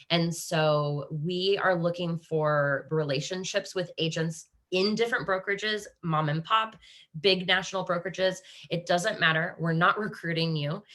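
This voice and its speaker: A woman with an American Midwest accent hosts an online panel over a video call. Her voice sits a little low, and she keeps an upbeat, encouraging tone, often restarting sentences mid-thought. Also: fairly bright tone. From Kansas, USA. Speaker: webinar host. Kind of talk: hosting a live online panel.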